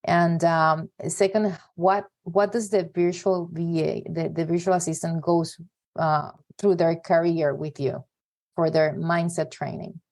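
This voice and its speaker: A woman's voice: fairly low pitch, steady tone, measured pace, a little mellow. A woman hosting a podcast interview, speaking conversationally in long, meandering sentences. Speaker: podcast host.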